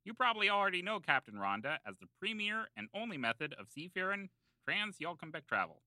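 A man with a very bright, forceful voice works as a podcast co-host. His delivery swings up and down with hammy, salesman-like emphasis, and the recording is close and dry. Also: sits high, very animated, a little fast, dry recording, poor audio. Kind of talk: comedy ad read and casual chat.

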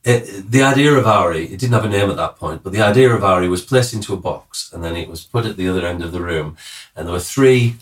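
A man's voice: sits low, fast talker, far from the mic. A man from the north of England with a Cumbrian accent, a musician guest telling stories in a casual interview, rambling with many fillers and jokes. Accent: Cumbrian English.

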